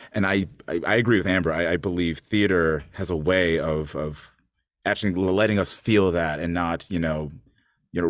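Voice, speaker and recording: Male actor on a discussion panel, deep register, earnest and informal, dry close recording. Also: no room sound.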